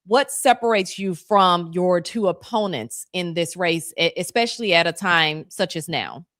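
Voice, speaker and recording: Woman news-show host, low-ish voice, attentive interviewer, dry studio sound. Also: a bit bright, no room sound. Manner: attentive, affirming, quick asides. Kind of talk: interviewing a guest.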